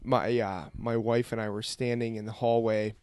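A man's voice: lowish voice, level tone, moderate pace, darkish tone, clean tone, no room sound. A man who is a guest telling a family story in casual chat, laughing as he talks. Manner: storytelling, laughs while talking. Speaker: guest, business owner.

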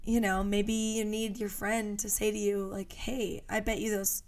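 A woman co-hosting a podcast with a voice in the middle range. She chats casually and sounds animated and playful, thinking out loud as she goes. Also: mid-range voice, unhurried. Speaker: podcast co-host. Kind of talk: casual chat.

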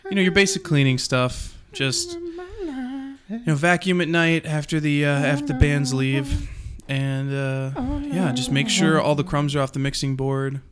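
A man playing an easygoing older brother who shows his sister around a studio job, talking in a relaxed, offhand way. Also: on the high side, unhurried, crowd noise.

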